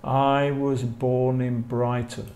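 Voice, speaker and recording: A man's voice: mid-range voice, little pitch movement, slow pace, a little mellow. A man with an English accent teaching a language lesson, enunciating carefully and repeating phrases. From England. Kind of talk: live online language lesson, reading model questions and answers.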